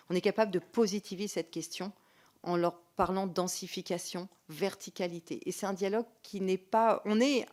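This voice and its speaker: Frenchwoman giving semi-formal testimony, mid-pitched. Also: darkish tone. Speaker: representative of local authorities speaking at a parliamentary hearing. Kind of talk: semi-formal spoken testimony, answering questions.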